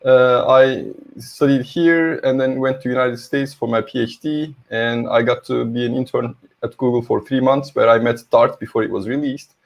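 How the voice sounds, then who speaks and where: Turkish-accented middle-aged man, lecturer on a video call, friendly, halting, a touch of vocal fry. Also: mid-range voice, fairly even intonation. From Turkey. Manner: hesitant, many fillers, friendly. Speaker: conference speaker, university lecturer. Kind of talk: online tech talk presentation.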